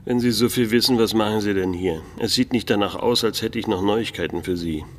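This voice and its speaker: Man playing low-voiced weary private detective. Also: brisk, slight background noise, lightly acted. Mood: tired, sceptical. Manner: dry, terse, laconic, sceptical. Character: weary, wary male private detective, narrator and hero. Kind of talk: German radio play acting with first-person narration.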